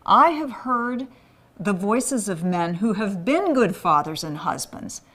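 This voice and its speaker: A woman's voice: mid-range voice, animated, slow pace, fairly bright tone. Earnest pleading woman. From Thailand. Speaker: spokeswoman for a men's ministry. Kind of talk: scripted promotional appeal.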